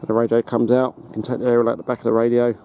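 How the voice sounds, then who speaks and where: A British man giving a casual hands-on demonstration as a hobbyist, in a halting, matter-of-fact way. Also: mid-range voice, monotone, dark tone, smooth. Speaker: hobbyist presenter. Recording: noticeable background noise.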